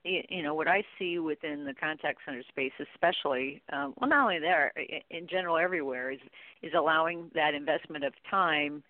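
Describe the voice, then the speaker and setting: A woman's voice: low voice, brisk, no room sound. Woman on a phone-like line. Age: middle-aged. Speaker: guest expert, training company chief executive. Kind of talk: conversational interview answers.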